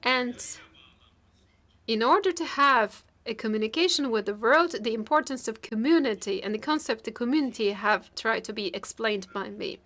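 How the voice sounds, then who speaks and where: A woman working as a live interpreter of a formal keynote, speaking in halting, piecemeal phrases with stretched words. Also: a little high, animated, a bit bright. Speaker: simultaneous interpreter.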